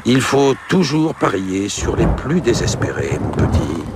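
An actor playing a cynical, domineering management trainer in a radio drama, with a pompous, lecturing manner. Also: male voice, fairly low pitch, very animated, a little slow, a little mellow, a little hiss or hum. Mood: smug, lecturing. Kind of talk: French radio drama acting.

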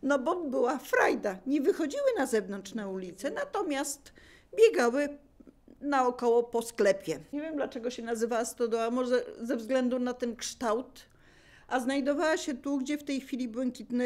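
A woman's voice: fairly high pitch, lively intonation, measured pace, darkish tone. An older woman casually sharing memories as a local resident, searching for words and repeating herself to explain. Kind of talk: casual spoken reminiscence.

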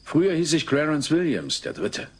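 An actor playing a weary, plainspoken truck driver, exasperated, in a dubbed sitcom audio play. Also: male voice, on the high side, sing-song, moderate pace, breathy edge. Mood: weary, frank. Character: weary male truck driver mistaken for a singer.

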